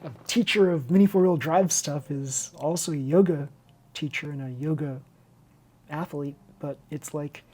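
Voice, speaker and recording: Husky woman chatting through a hobby build. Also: deep voice, moderate pace, dark tone. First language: Chinese. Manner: rambling, candid, self-interrupting. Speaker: hobby video host and teacher. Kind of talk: unscripted casual chat over a model car build tutorial.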